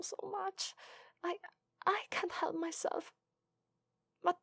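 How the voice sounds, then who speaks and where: A woman with an Italian accent voicing a gentle, lovestruck female fox spirit in a soft, whispered ASMR roleplay. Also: extremely high pitch, creaky, no echo, full character voice. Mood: overjoyed.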